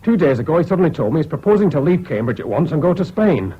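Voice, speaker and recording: A man's voice: a little high, big pitch swings, quick pace, husky. An actor playing an anxious, formal college man in a radio drama, stiff and urgent in manner. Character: Laidlaw, anxious, formal Cambridge acquaintance. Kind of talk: 1970s British radio drama acting. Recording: dull, hissy old radio recording.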